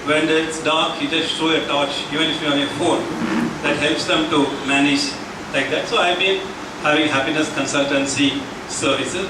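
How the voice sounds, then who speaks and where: Indian man, middle-aged, speaks to a seniors' forum, slightly high voice, echoey hall, mic far off. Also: background noise. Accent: Indian English. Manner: measured, with many pauses and fillers. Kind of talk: public talk to a senior citizens' forum. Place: meeting hall.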